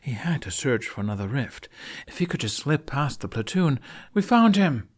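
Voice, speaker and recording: A man reading a story aloud as narrator in an expressive audiobook style. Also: mid-range voice, moderate pace.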